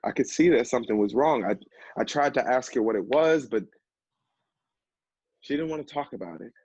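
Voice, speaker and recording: An actor playing an earnest, emotional man who knows addiction firsthand, speaking haltingly in a stage play. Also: medium pitch, animated, a little mellow, breathy edge. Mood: upset, halting. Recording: roomy, dull stage recording.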